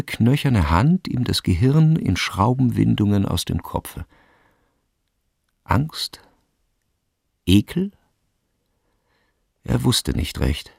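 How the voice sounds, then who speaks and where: Male radio drama narrator, low gravelly voice, measured literary storytelling, music behind. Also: darkish tone, no room sound.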